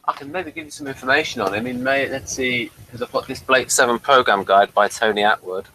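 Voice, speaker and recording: A man hosting a conversational TV review in a measured, orderly way. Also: medium pitch, sing-song, creaky, pushed and bright, a little hiss or hum, poor audio. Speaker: host of a TV review show. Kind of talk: conversational review, partly reading aloud from a guide.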